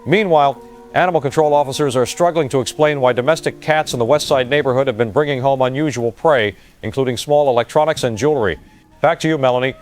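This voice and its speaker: A man's voice: mid-range voice, fast, faint music behind, natural voice. A man playing an earnest TV field reporter, giving a formal scripted live report. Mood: earnest, serious.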